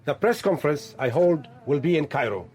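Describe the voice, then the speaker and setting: An actor playing a stern, self-assured militant leader in a radio drama, speaking in authoritative, clipped declarations with a husky voice. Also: male voice, fairly high pitch, lively intonation, a little fast, darkish tone, soft background music, close to an everyday voice. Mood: assured, commanding.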